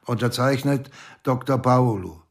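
A man's voice: medium pitch, measured pace, close to an everyday voice. An actor playing a stern police commissioner in a radio drama, dry and bureaucratic in his delivery. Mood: officious. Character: stern male police commissioner, officious and annoyed.

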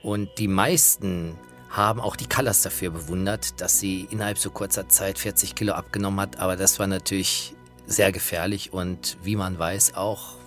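Low-pitched man presenting, faint music behind. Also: fairly even intonation, normal speed, a bit bright. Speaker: presenter recalling singers he knew. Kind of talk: spoken anecdotal commentary.

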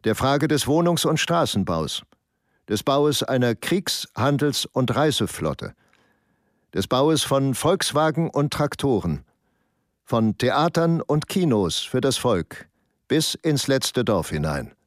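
A cold Nazi official who proclaims his war aims like a speech. His voice swings up and down with lively emphasis. The recording is dry and close. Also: mid-range voice, takes it slowly, no echo. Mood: declaiming. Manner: declaiming, oratorical. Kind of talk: documentary radio drama reading.

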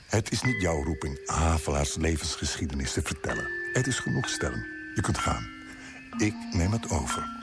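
An actor playing a defiant, indignant writer who addresses the powerful in a theatrical, emphatic radio drama monologue. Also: male voice, sits low, full character voice. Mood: commanding. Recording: loud music behind the voice. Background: music.

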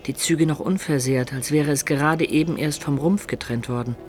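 A man narrating a dark adventure tale in the first person for a German radio play, measured, composed and literary. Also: fairly high pitch, faint music behind, lightly acted. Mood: grave.

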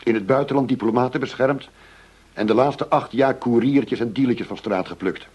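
An actor playing an earnest, driven middle-aged police chief inspector in a radio drama, speaking formally, insistently and with measured phrasing. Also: male voice, mid-range voice, darkish tone, breathy edge, dull audio, lightly acted. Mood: determined, insistent.

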